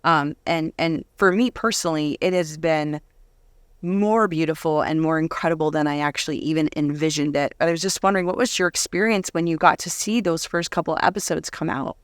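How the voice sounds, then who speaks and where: A woman's voice: sits low, steady tone, brisk, clear, resonant tone, no room sound. A woman author and speaker talking casually in a conversational interview, chatty and giggly, in a dry close-sounding recording.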